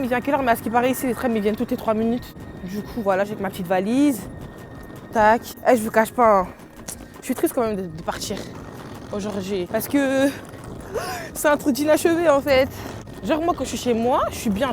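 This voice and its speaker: A woman's voice: a little high, background noise. A young woman from France chatting casually to her phone camera in a vlog, rambling and giggling, with a head cold. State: has a cold. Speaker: vlogger.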